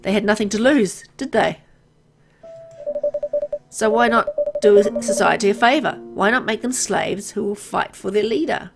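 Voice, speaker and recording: A woman's voice: mid-range voice, normal speed, gravelly, lightly acted. Woman reading as a grim immortal man, music blaring. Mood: bitter, explaining. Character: embittered immortal mysterious man, grim and world-weary. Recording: loud music behind voice.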